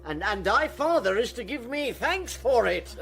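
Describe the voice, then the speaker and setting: An older actor playing a fat, boastful, roguish old knight in a Shakespearean radio drama, blustering and halting as he wheedles. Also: very high voice, very animated, creaky, heavily acted. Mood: wheedling excuses. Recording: music behind the voice.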